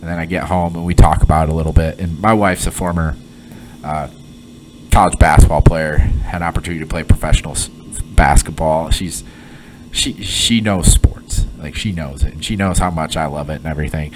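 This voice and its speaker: Low, nasal man with a head cold. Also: fairly even intonation, low-quality recording. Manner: halting, many fillers and restarts. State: sick with a sinus cold. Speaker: podcast host; former head coach. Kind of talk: casual solo podcast chat.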